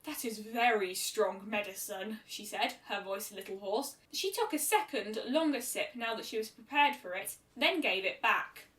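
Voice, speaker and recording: A woman's voice: a little high, sing-song, moderate pace, few pauses, pushed and bright. A woman narrating a scripted fan fiction story as an amateur reader. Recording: roomy echo, distant microphone.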